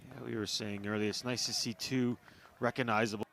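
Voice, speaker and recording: A man's voice: on the low side, flat delivery, fairly quick, vocal fry, fairly bright tone, slight background noise. Hesitant male cricket analyst. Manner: hesitant, repeats words while thinking. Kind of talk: casual commentary chat.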